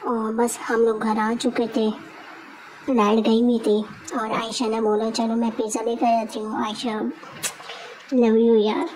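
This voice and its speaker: A young woman talks through her vlog in a chatty, giggly voice-over. Her voice sits a little high but stays flat in pitch, with a mellow tone. A faint hiss lies under the recording. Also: unhurried, low-quality recording. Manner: chatty, giggly, recounting to viewers. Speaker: vlogger. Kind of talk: casual voice-over vlog narration.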